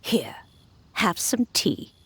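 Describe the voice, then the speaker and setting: A woman voicing a kindly, serene elderly woman in a video game, with a gentle, courteous manner. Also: drawn-out words, a little hiss or hum. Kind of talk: video game character acting.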